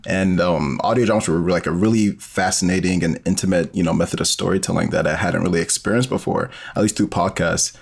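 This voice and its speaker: A man's voice: low-pitched, steady tone, a little fast, vocal fry, hardly any pauses, breathy edge. A young man talking casually as a guest in an interview, with plenty of conversational filler. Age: young adult. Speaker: guest, podcast co-creator.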